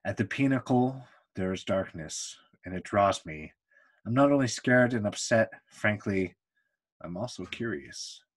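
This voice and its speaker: A man with a fairly low voice reads from a book. He delivers the text deliberately, phrase by phrase, leaving pauses as he goes. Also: lively intonation. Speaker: book reader. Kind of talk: reading a book aloud.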